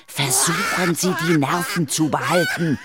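A woman playing an experienced, composed ghost hunter in a children's radio play, explaining things deliberately and tactfully. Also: sits low, wildly swooping pitch, over music, full character voice. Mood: tactful, urgent.

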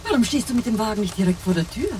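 Actress as critical wife with lively intonation. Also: female voice, mid-range voice, rapid-fire, a little hiss or hum, close to an everyday voice. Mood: reproachful, irritated. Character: critical, brisk, nagging wife. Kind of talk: German radio play dialogue. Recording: radio play with music behind.

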